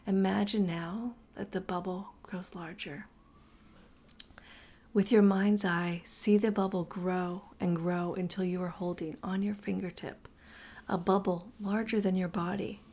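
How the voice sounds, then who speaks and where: A woman narrating a guided meditation slowly and soothingly, her breathy voice often dropping toward a whisper. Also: on the low side, steady tone, mellow.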